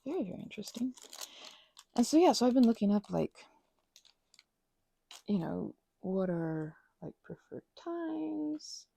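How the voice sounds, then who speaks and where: A woman's voice: medium pitch, very animated, unhurried, vocal fry, pauses often, warm tone, no room sound. A woman chatting in a rambling, casual way while she prepares craft materials, full of fillers and restarts. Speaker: ASMR and audio creator.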